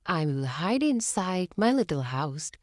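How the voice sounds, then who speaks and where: Woman as an excitable little girl piglet, animated. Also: fairly low pitch, a little slow, dry recording, clean studio sound. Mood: playful. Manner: excitable, giggly. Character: playful, curious little girl pig.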